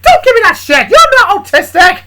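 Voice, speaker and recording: A woman's voice: very high-pitched, big pitch swings, fairly quick, heavy background noise, pitched above the performer's own voice. A woman voicing a hot-tempered male bully in an aggressive, blunt manner for a story. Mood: furious.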